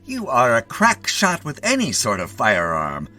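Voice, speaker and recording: Man playing an insinuating, deliberate prosecutor. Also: mid-range voice, wildly swooping pitch, pushed and bright, faint music behind, pitched above the performer's own voice, heavily acted. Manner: pointed, deliberate. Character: insinuating, theatrical male district attorney.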